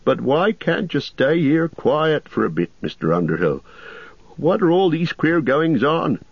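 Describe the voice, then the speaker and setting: A man voicing a fussy, excitable middle-aged innkeeper who frets loudly in a raised voice. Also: gravelly, slightly rough, no echo, dull audio, full character voice. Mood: alarmed. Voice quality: excitable, high and loud, quick to fret.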